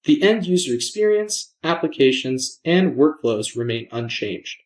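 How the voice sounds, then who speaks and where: A man presenting a software demo in scripted narration, measured and explanatory, enunciating his words. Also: fairly high pitch, big pitch swings.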